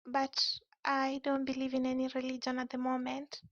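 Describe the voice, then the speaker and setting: Caller, female, Swahili native speaker, hesitant, high voice. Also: monotone, moderate pace, lo-fi sound. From Swahili-speaking East Africa. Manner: hesitant, searching for words. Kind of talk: casual debate call.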